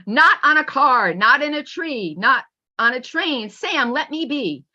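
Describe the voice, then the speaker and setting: Woman reading as a stubborn storybook grouch, bright and insistent. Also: high-pitched, expressive, unhurried, heavily acted. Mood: insistent, building. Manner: emphatic, sing-song, insistent. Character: stubborn picky storybook grouch refusing food. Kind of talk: rhythmic picture-book read-aloud. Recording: dull, lo-fi online call audio.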